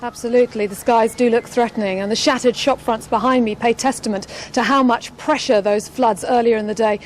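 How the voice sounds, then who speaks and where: A British female news presenter reports live from a street. Her voice is a little high, with faint outdoor noise behind it. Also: fairly even intonation, a little fast. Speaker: television news presenter on location.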